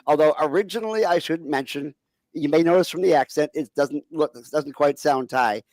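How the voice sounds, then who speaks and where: A man's voice: fairly high pitch, brisk, darkish tone, no echo. A man hosting an online talk show over a video call, introducing guests and asking questions in a chatty, upbeat way. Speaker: show host.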